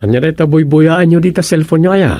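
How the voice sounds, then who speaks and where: A man's voice: fairly high pitch, fast, mellow, no echo, clean studio sound, close to an everyday voice. A man playing an easygoing, placating grown son in an Ilocano radio comedy, with an Ilocano accent. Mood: chatty.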